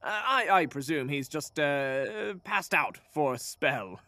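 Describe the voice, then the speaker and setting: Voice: mid-range voice, expressive, slow pace, bright, forceful tone, no room sound, exaggerated voice. An actor playing a pompous, cocky sorcerer who serves an empress, haughty and theatrical with flustered hesitations, in a fantasy audio drama. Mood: flustered, amused.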